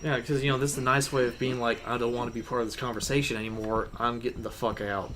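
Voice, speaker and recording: A man's voice: mid-range voice, flat delivery, quick pace, fairly bright tone, a little hiss or hum, poor audio. Chill, rambling young man. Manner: laid-back, rambling, swears a lot. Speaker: channel host. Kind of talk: casual gameplay chat. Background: game music.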